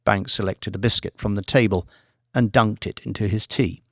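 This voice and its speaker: A man's voice: fairly low pitch, fairly even intonation, normal speed, no room sound. Male audiobook narrator, very dry sound. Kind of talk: scripted fiction narration.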